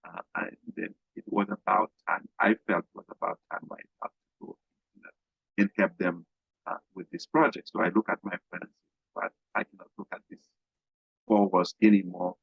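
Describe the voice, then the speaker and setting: A middle-aged man from Haiti, a guest business coach talking haltingly in an interview, with many fillers and restarts. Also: low voice, flat delivery, vocal fry, breathy edge, no echo. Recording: dull, dry lo-fi sound.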